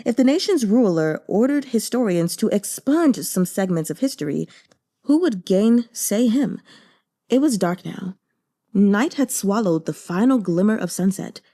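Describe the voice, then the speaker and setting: Female audiobook narrator, mid pitch, mellow, measured delivery. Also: animated. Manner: measured, deliberate storytelling. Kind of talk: scripted narration of a novel translation.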